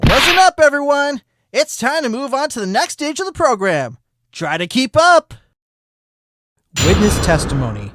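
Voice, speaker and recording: Loud, peppy and full of bounce, this is a hyper sloth witness barking like a fitness instructor, as a man reading a story puts him on. His pitch leaps up and down with every shout, over a faint music bed.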